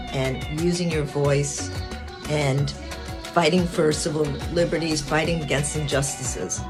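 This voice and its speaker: Female narrator, earnest and measured, over a clear music bed. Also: low voice. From Ecuador. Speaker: singer and activist. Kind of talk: scripted promo narration.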